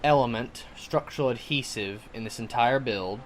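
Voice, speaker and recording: A man's voice: medium pitch, moderate pace. A man presenting a hobby build in a casual, informal how-to narration style.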